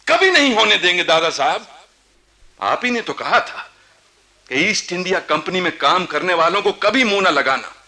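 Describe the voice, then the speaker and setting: An actor playing a proud, impassioned young Indian ruler in a theatrical Hindi radio play, with a grand, deliberate, declamatory delivery. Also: male voice, high voice, bright, forceful tone, heavily acted.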